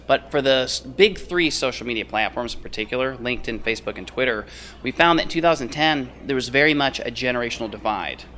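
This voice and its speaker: A man's voice: medium pitch, fast, sharp tone, a little hiss or hum. A man speaking as an expert interview guest at a conference, explaining findings in his answers. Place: on location at a conference.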